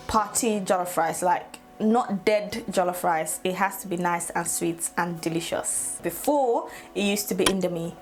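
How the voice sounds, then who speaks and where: A woman's voice: on the low side, measured pace, hardly any pauses, faint music behind. Chatty young woman vlogger. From Nigeria. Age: 20s. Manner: chatty, laughs often, playful asides. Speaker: YouTuber. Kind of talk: casual get-to-know-me vlog.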